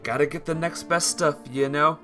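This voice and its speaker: A man's voice: on the high side, steady tone, moderate pace, a bit bright, soft background music. A man streaming a visual novel, switching between casual chat with viewers and reading the story aloud. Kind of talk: casual livestream chat mixed with expressive read-aloud narration.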